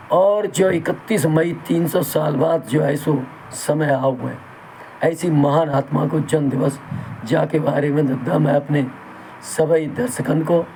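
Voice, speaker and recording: Slow hoarse man. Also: fairly high pitch, mellow, a little hiss or hum, rough recording. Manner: slow, halting, folksy and reverent, addresses listeners as brother. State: sore throat. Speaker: channel host. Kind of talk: talk in Hindi with Bundeli dialect.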